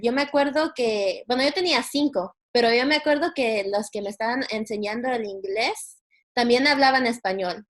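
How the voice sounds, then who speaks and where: A young woman student from Guatemala talks casually about her own experience. Her voice is a little high, and she feels her way hesitantly through her phrases. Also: normal speed. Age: young adult. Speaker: university student. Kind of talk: casual personal account in a panel.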